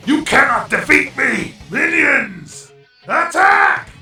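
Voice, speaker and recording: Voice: sits high, animated, takes it slowly, vocal fry, sharp tone, soft background music, full character voice. An actor voicing a menacing, arrogant robot villain who speaks in grandiose, snarling threats in a fan audio drama. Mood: commanding.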